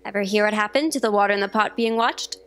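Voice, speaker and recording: A woman's voice: mid-range voice, fast talker, clean tone, natural voice. A woman playing a wisecracking self-aware spaceship machine, dramatic and teasing in a staged sci-fi comedy drama. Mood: dry teasing. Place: theatre stage, live performance. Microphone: stand microphone.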